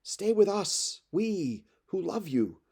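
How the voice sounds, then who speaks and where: Man voicing an anguished, pleading old nursemaid, raised pitch. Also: very animated, slow pace, darkish tone, heavily acted. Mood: pleading, distressed.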